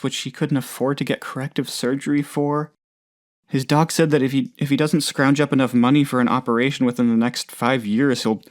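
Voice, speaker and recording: A man's voice: mid-range voice, lightly acted. Male actor as bumbling video game factory boss, nervous, rambling, earnest, hesitant, downtrodden. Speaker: factory manager character. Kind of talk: video game character voice lines.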